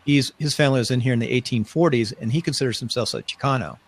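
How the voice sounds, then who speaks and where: A man's voice: mid-range voice, fast talker. A middle-aged man hosting a casual live-stream interview, chatty and rambling, often restarting his sentences. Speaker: host.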